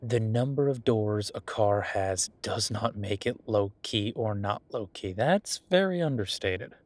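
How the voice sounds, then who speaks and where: A man's voice: lowish voice, expressive, unhurried, no echo. Man playing a laid-back billionaire, close and dry. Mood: playfully defensive. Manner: rambling, informal, playfully defensive, self-mocking. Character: friendly, self-deprecating young billionaire CEO, nerdy car lover. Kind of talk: casual intimate audio roleplay, one-sided chat with listener.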